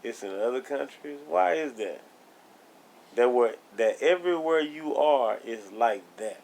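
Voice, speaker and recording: A man's voice: mid-range voice, animated, a little slow, vocal fry, darkish tone. A man co-hosting a casual podcast, blunt and argumentative, laughing as he makes his points. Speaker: podcast co-host.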